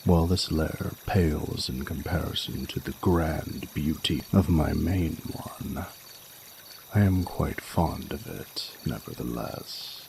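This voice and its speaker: Ancient male dragon lover, played by a man: rumbling bottom-register voice, gravelly, regal and flirtatious, formal archaic delivery over trickling water. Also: darkish tone, slight background noise, heavily acted. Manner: formal archaic phrasing, slow deliberate pauses, seductive. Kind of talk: romantic audio roleplay, intimate monologue to the listener.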